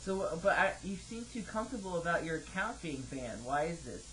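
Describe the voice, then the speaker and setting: Halting young man on a noisy recording. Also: fairly high pitch, very animated, fairly bright tone. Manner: halting, questioning. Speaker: prank caller posing as customer service. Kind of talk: hesitant phone conversation. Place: home office, indoors. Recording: noisy home computer microphone recording.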